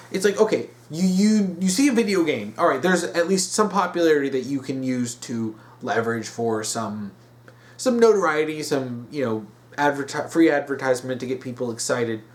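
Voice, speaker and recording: A man giving casual opinion commentary in an exasperated, emphatic way, drawing out the words he stresses. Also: a little high. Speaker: video commentator.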